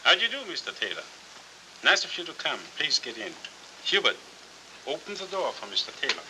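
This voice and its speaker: Florid villain, swinging pitch, hissy film. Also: male voice, big pitch swings, sharp tone, exaggerated voice, rough recording. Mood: courtly, insistent. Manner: courtly, florid, deliberate. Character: suave, wordy, menacing gentleman villain with a chauffeur. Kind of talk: 1940s film noir acting. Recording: hissy 1940s film soundtrack.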